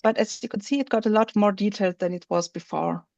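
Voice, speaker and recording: A woman presenting on a video call, slowly walking through a software feature while she shows her screen. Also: mid-range voice, gravelly, no echo, muffled recording. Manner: slow, explanatory, thinks aloud while showing her screen. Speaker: webinar presenter.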